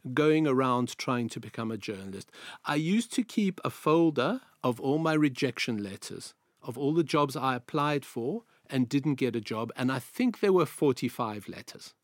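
A man in his sixties from South Africa with a South African accent, telling his career story as a guest in an interview, in a measured, anecdotal way. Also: mid-range voice, dry recording, clean studio sound. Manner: measured, anecdotal, repeats phrases. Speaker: guest, veteran journalist.

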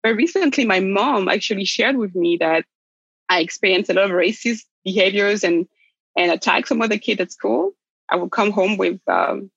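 A woman, a podcast guest thoughtfully telling her life story, pausing and restarting her sentences. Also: medium pitch, creaky, a bit bright, no room sound, lo-fi sound. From Republic of the Congo, raised in France. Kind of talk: reflective personal storytelling.

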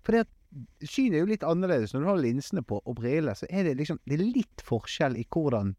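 A man co-hosting a podcast in casual, humorous chat, halting and often correcting himself mid-sentence. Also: fairly high pitch, very animated, warm tone, no room sound, clean studio sound. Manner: halting, self-correcting, stammering repeats. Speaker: podcast co-host.

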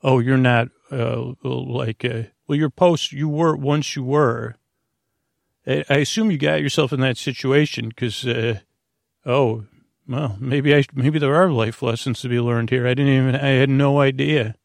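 A man with a creaky voice, improvising a meandering, halting bedtime podcast monologue full of asides and self-interruptions. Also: mid-range voice, steady tone, dry recording, studio quality. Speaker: podcast host.

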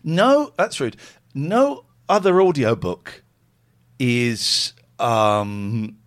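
A man's voice: medium pitch, drawn-out words. A man co-hosting a casual recorded chat, joking and interrupting himself as he sets up readings. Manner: animated, self-interrupting, joking. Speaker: podcast co-host reading stories.

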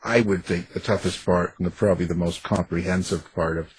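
A man hosting a casual interview, asking questions in a halting way as he rephrases them. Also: low voice, steady tone, a little hiss or hum, low-quality recording. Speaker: interview host.

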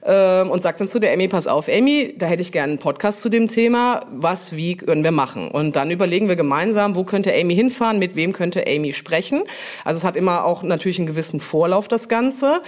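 A woman answering interview questions informally, explaining things with frequent pauses to think. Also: fairly low pitch, lively intonation, a little mellow. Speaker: brand manager, interview guest.

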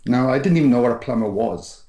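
A man's voice: medium pitch, animated, fast, mellow, reverberant. Rambling Welsh man telling his story. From South Wales. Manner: rambling, frequent restarts and fillers. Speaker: podcast guest. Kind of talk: casual storytelling interview.

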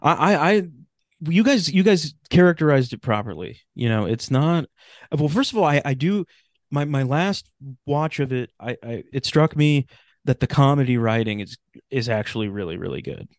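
A man chatting casually as a podcast guest, halting and repeating words as he goes. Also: mid-range voice, animated, dry recording, studio quality. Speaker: podcast guest, writer of radio drama. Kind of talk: casual chat.

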